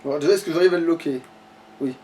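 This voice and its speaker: A man's voice: on the high side, quick pace, a little mellow, a little hiss or hum. A man commenting casually on his own gameplay in slangy, joking, crude language. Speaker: gamer, Let's Play player. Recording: distant mic with music. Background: game music.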